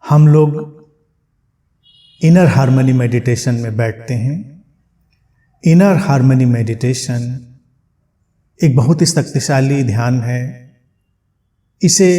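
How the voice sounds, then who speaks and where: A man leading a guided meditation, speaking gently in short phrases with long pauses between them. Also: medium pitch.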